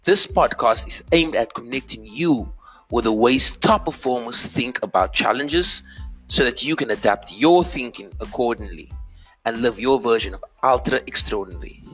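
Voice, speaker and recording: A man's voice: mid-range voice, expressive, normal speed, a bit bright, soft background music, poor audio. A man hosting a podcast interview who affirms often with "mm-hmm" and "okay" and restates his guest's points back to her. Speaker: podcast host. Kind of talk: interview questions.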